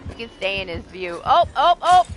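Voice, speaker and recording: A young woman from Texas, chatting casually on a live game stream, laughing often and swearing, with a voice starting to go hoarse. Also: on the high side, sing-song, normal speed, music in the background, rough recording. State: starting to lose her voice. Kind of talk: casual live-stream chat while playing.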